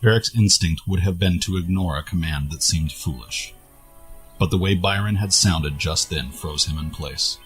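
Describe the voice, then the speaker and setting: A man narrates a scripted fantasy adventure. His voice is low, and quiet music plays underneath the reading. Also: moderate pace.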